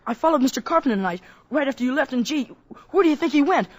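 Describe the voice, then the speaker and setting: An excited young boy. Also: high-pitched, racing, muffled recording. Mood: insistent. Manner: breathless, insistent. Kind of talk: 1950s radio drama acting.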